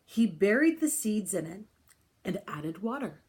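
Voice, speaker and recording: A woman reading a children's picture book aloud in an expressive style, with deliberate, dramatic phrasing and pauses. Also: medium pitch. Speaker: storybook reader.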